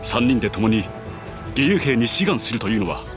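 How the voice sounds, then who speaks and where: An actor playing a noble, humble young warrior in a video game, speaking earnestly and formally. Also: male voice, medium pitch, sing-song, normal speed, music in the background, close to an everyday voice. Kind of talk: video game cutscene voice acting.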